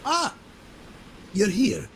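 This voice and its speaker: An actor playing a jovial, theatrical pirate ship captain in a video game, with a playful, roguish manner. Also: male voice, sits high, sing-song, extremely slow, creaky, a bit bright, slight background noise, heavily acted. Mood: playful, surprised. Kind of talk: video game character acting.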